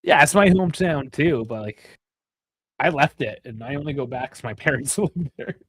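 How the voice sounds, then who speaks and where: A man's voice: mid-range voice, animated, moderate pace, breathy edge, dry recording, studio quality. A Canadian man in his thirties, chatting loosely about soccer with frequent laughs and swearing. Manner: rambling, swears, laughs often. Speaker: co-host of a soccer watchalong. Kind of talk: casual chat.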